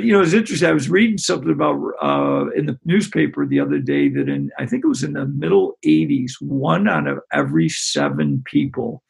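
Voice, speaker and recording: A middle-aged man from Western New York talks in a loose, halting ramble, full of restarts and fillers. His voice is heavily gravelly and a bit husky, and his pitch swings widely as he goes. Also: rough recording. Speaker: basketball coach. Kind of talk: relaxed interview conversation.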